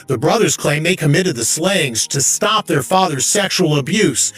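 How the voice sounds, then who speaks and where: A man's voice: fairly high pitch, lively intonation, moderate pace, a bit bright, breathy edge, soft background music. A man narrating a scripted television news report as a reporter.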